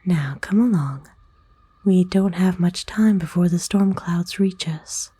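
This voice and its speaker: Woman whispering as a kind, breathy forest witch. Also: fairly low pitch, mellow, no room sound. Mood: gently coaxing. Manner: soothing, folksy, unhurried. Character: kind, nurturing forest witch, playful and gently old-fashioned. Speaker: ASMR voice actor. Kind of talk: whispered ASMR roleplay.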